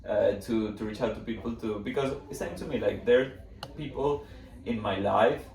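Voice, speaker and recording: A man from Venezuela with a Venezuelan Spanish accent, interviewing in a casual, reflective way with halting, searching phrasing. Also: lowish voice, darkish tone. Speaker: podcast host, interviewer. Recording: echoey room, distant mic, background noise.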